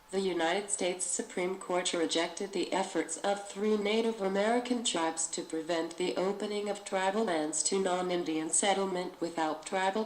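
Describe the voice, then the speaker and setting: A woman reading a formal academic passage aloud. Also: on the low side. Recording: echoey room with faint noise.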